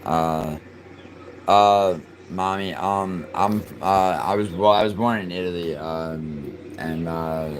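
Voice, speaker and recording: A man from New York City streaming live, chatting casually and cursing in excitable outbursts between mumbled fillers. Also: low-pitched, takes it slowly, a little hiss or hum, rough recording. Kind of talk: casual live stream chat, reacting to soccer and reading chat.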